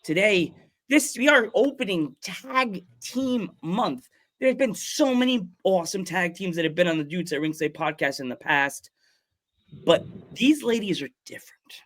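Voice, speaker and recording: A man's voice: sits high, expressive, a little slow, fairly bright tone. A man hosting a wrestling podcast, enthusiastically welcoming and interviewing guests in a casual chat. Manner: enthusiastic, repeats "awesome". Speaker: podcast host.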